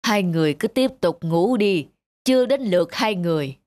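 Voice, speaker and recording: A woman's voice: on the low side, lively intonation, moderate pace, close to an everyday voice. A woman voicing an old Santa Claus for a cartoon, stern yet kindly, speaking with authority. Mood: matter-of-fact. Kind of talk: dubbed cartoon storytelling. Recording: music under the voice. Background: background music.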